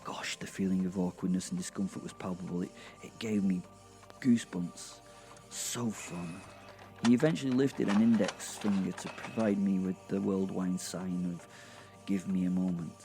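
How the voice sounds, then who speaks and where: A man narrating a first-person horror comedy story with a theatrical, relishing manner and dramatic pauses. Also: low voice, warm tone, faint music behind. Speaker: narrator and host.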